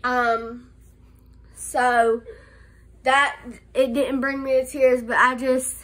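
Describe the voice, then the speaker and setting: A young woman with a lingering cold talks into her phone in a small room, her high voice sounding stuffy and nasal. She is bright and clear, but she goes slowly and haltingly, restarting sentences as she chats. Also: flat delivery, poor audio. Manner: slow, halting, many "um"s and restarts. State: nasal from a cold, slight cough. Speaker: vlogger. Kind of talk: casual life update vlog. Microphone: phone camera held close.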